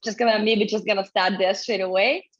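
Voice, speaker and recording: A woman with a Ukrainian accent, telling her story as a guest in an effusive, lively way. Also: medium pitch, a little fast, dull audio. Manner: effusive, multi-passionate storytelling with laughs. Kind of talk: casual video interview.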